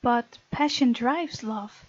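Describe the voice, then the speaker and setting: A woman's voice: on the high side, takes it slowly, no echo, dull audio. A woman from the Netherlands, reading a visual novel aloud as let's play narration with casual asides.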